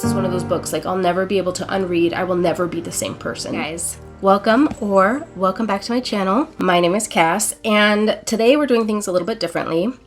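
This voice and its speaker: A woman's voice: mid-range voice, a little fast, hardly any pauses, soft background music. A woman in her forties, still congested from an illness, talking earnestly and emotionally about a book in a casual reading vlog, with fillers and self-corrections. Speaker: book vlogger.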